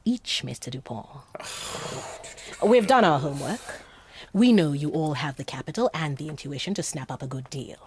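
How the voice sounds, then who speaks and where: A woman's voice: deep voice, expressive, normal speed, a little mellow, a little hiss or hum. An actress playing a self-assured British female con artist in a radio drama, poised, persuasive and businesslike. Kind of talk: naturalistic radio drama acting.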